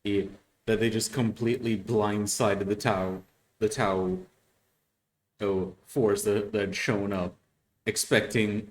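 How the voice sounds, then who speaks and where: A man's voice: lowish voice, steady tone, takes it slowly. A man giving casual, unscripted reaction commentary as a host, stammering and repeating words as he goes.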